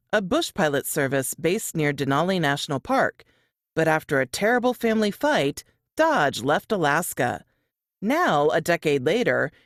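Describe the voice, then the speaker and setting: A woman with a slightly low, very animated voice reads scripted promotional narration. Her delivery is dramatic storytelling, recorded close and dry. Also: no echo. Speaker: book blurb narrator.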